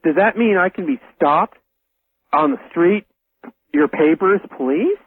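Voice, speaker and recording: A man's voice: sits high, big pitch swings, unhurried, breathy edge, dry recording. An American man calling a radio show, talking casually in an incredulous, questioning manner. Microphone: telephone. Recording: tinny phone line on radio.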